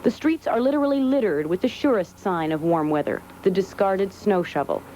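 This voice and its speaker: A woman reporter with an American accent narrates a whimsical scripted news feature. Her voice is mellow and mid-pitched, with faint background noise. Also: expressive, a little fast, low-quality recording.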